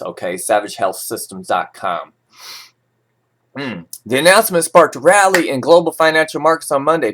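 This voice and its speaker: A man's voice: fairly low pitch, a bit bright. A man reading financial news as an informal host, breaking into sarcastic, opinionated and folksy asides.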